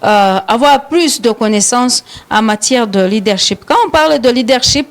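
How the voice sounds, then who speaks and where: A woman's voice: on the high side, normal speed. Hesitant Rwandan woman hosting a radio show. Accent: Rwandan French. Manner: hesitant, many fillers and repeated words. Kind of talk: live studio talk show.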